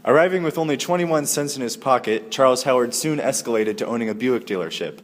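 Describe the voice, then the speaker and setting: A man's voice: medium pitch, lively intonation, quick pace. A man reading scripted story narration as a narrator. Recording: echoey hall, clear background noise.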